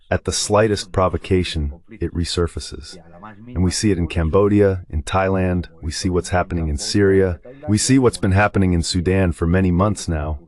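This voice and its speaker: A man's voice: deep voice, a little mellow. A man giving political commentary as a guest, explaining his points in an emphatic, dismissive manner. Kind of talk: political commentary, translated voice-over.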